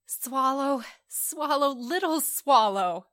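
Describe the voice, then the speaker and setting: A woman plays a noble statue prince from a storybook. The voice is lifted high, earnest and sorrowful, pleading with compassion yet giving commands. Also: unhurried, fairly bright tone. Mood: imploring. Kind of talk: storybook character voice.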